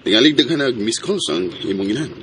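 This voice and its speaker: A man's voice: medium pitch, lively intonation, quick pace, a bit bright, a little hiss or hum. An actor playing a polite, dutiful young man in a radio drama, earnest and mixing in English. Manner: earnest, mixes English into his speech.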